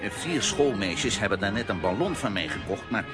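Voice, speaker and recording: A man's voice: lowish voice, animated, brisk, over music, lightly acted. An actor playing a simple, kindly balloon seller who calls his wares and stammers nervously, in a children's radio play. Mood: hesitant. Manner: street-vendor calls, stammers when pressed.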